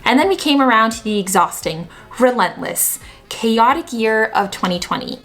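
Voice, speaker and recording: A woman's voice: medium pitch, fairly bright tone, a little hiss or hum. Chatty Canadian woman vlogger. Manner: chatty, opinionated, emphatic asides. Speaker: YouTube vlogger. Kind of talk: scripted explainer commentary.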